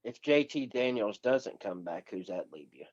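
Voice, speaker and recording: A man co-hosting casual sports banter in a teasing, deadpan way. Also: fairly low pitch, moderate pace, dull audio. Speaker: co-host.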